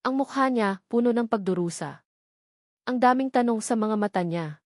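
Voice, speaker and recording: A woman's voice: fairly high pitch, animated, normal speed, dry recording, studio quality. A woman reads a dramatic first-person story as a scripted narrator.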